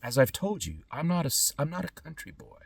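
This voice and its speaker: A man's voice: fairly high pitch, big pitch swings, brisk, gravelly, breathy edge, no echo. Middle-aged man, slightly distant dry sound. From born in Trinidad and Tobago, lived in California. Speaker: podcast host. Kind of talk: unscripted monologue to camera.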